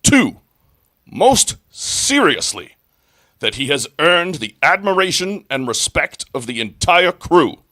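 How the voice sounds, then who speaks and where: A man's voice: fairly high pitch, big pitch swings, takes it slowly, bright, forceful tone, no echo, heavily acted. A man acting a grandiose ship's officer in an amateur sci-fi script read, proclaiming pompously. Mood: mock stern. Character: grandiose ship's officer reading mock charges.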